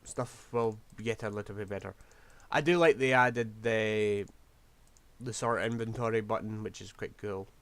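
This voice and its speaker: Man, hoarse, lowish voice, scatterbrained rambling game commentary. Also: a little slow, a bit bright, no echo. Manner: rambling, scatterbrained, self-deprecating. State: losing his voice. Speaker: game streamer. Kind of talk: casual gameplay commentary.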